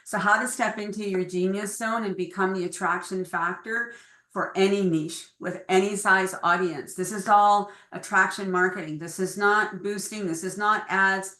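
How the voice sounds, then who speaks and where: A woman's voice: medium pitch, fairly even intonation, moderate pace, bright, forceful tone, echoey room. A woman coach speaking as guest at an online event, enthusiastic and promotional about her programs. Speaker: empowerment coach. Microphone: video call.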